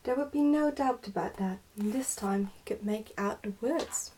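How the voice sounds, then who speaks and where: A woman narrating in a soft, breathy, often whispered ASMR style, with gentle and careful delivery. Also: medium pitch, moderate pace, a little hiss or hum, poor audio. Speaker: ASMR host reading a book aloud.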